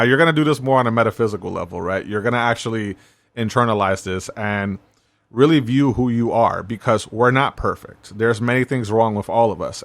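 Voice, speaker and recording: A man's voice: lowish voice, fairly bright tone, no room sound. A man hosting a self-help podcast, talking in a laid-back, conversational way and often checking in with "right?". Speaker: podcast host.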